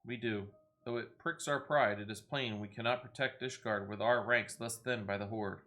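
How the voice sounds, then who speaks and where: A man's voice: on the low side, steady tone, moderate pace, a bit bright, lightly acted. A man voicing a grave, proud envoy from a besieged fantasy city in a video game, with stately, urgent archaic speech. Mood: formal, pleading.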